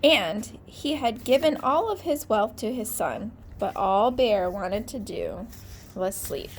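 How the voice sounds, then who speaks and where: A woman teacher reading a storybook aloud to children, pausing to comment and ask questions. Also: medium pitch, lively intonation, a little hiss or hum.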